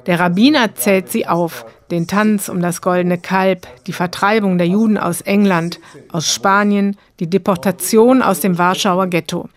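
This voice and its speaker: A woman reading scripted documentary narration in a calm, measured way. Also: lowish voice. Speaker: radio reporter, narrator.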